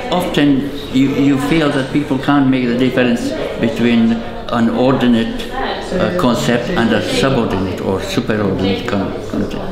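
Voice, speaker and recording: A man from Hungary, a self-taught philosopher, talking in a musing, halting way at a social event. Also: medium pitch. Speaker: event participant. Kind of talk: casual chat. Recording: echoey room with loud crowd.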